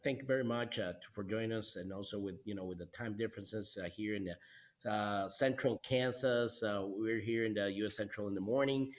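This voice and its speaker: A man with a Costa Rican accent, a native Spanish speaker, hosting a conversational podcast interview in a halting way, often repeating words and restarting. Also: lowish voice. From Costa Rica. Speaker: podcast host.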